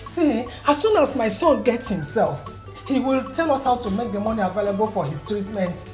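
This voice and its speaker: A woman's voice: fairly high pitch, lively intonation, moderate pace, darkish tone, roomy sound, soft background music, natural voice. A woman playing a pleading Nigerian mother. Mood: insistent. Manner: pleading, insistent. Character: worried mother begging a doctor.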